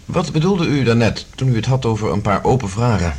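A man's voice: medium pitch, very animated, brisk, a little mellow, slightly rough. A man acting a sober, cautious professor giving formal reports in a science-fiction radio drama.

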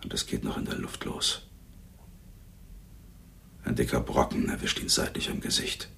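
An actor playing a menacing, boastful gangster with a gravelly husky voice, talking tough street slang in a radio crime drama. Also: male voice, rumbling low, moderate pace, bright, forceful tone, slight background noise, full character voice. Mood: grim, low. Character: menacing, boastful male gangster, war veteran with grudge.

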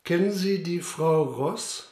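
A sceptical police inspector in a radio play. His voice sits a little high for a man and is husky, with a measured, official, probing delivery. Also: a little mellow. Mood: reserved, probing. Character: sober, sceptical small-town police inspector questioning witnesses. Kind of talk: radio drama acting and narration.

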